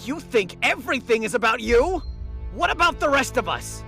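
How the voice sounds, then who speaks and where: A woman's voice: fairly high pitch, expressive, moderate pace, vocal fry, bright, forceful tone, exaggerated voice. A woman playing a hot-headed, outspoken yet vulnerable young male fighter in an anime audio drama. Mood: indignant. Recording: music under the voice.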